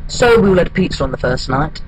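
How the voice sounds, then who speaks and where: High-pitched hapless man acting a deadpan monologue storyteller. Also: brisk, background noise, natural voice. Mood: deadpan, rueful. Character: hapless, wistful single man telling his odd life story. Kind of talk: comic dramatic monologue.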